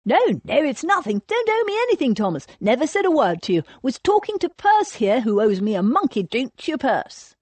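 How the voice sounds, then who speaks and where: Woman doing a jittery, whining cowardly gentleman. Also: medium pitch, wildly swooping pitch, moderate pace, hardly any pauses, breathy edge, dry recording. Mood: aggrieved, placatory. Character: nervous, placatory, cowardly male cousin, aggrieved and sly. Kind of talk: audiobook character dialogue.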